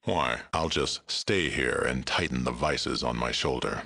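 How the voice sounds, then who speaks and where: An actor playing a huge, world-weary super mutant town leader in a video game, with a gravelly booming voice and a measured, blunt, stern delivery. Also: male voice, bright, forceful tone, heavily acted. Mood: dry, blunt. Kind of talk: video game character dialogue.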